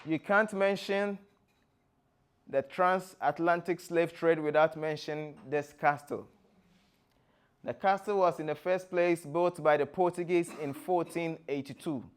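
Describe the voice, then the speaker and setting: A man from Ghana with a Ghanaian accent, giving a presentation in a deliberate, explanatory way, phrase by phrase. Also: on the high side, fairly even intonation. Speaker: guest speaker, former tour guide. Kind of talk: presentation to an audience with slides.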